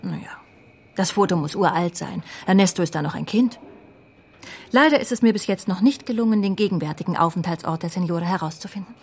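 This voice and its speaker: An actress playing an efficient, wry police secretary in a naturalistic radio drama. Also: female voice, on the low side, fairly quick, darkish tone, slightly rough.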